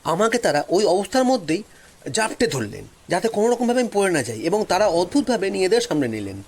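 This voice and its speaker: A man narrating a first-person story in a dramatic, suspenseful way with expressive, drawn-out pauses. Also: high voice, slight background noise.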